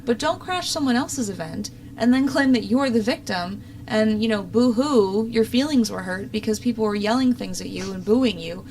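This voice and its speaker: A woman's voice: on the high side, normal speed, hardly any pauses, darkish tone, slight background noise, low-quality recording. A young adult woman giving opinionated, casual political commentary as a talk show host, in an exasperated, sarcastic manner.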